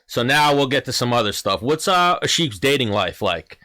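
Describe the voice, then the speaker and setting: A man's voice: mid-range voice, big pitch swings, moderate pace, sharp tone. A man hosting a casual podcast, asking long, rambling questions full of fillers. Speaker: podcast host. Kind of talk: casual chat.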